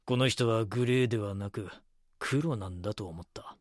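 A man's voice: lowish voice, moderate pace, dry recording, clean studio sound, close to an everyday voice. A man delivering solemn scripted narration for a true-crime audio drama. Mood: grave, certain.

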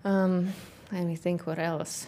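A middle-aged woman with a non-native English accent, a company founder in a casual interview, talking thoughtfully with many pauses. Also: on the low side, level tone, dark tone. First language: not English; grew up in Kazakhstan, speaks Russian. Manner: thoughtful, frequent "um" pauses, light laughter. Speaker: guest, founder of a workwear boot company.

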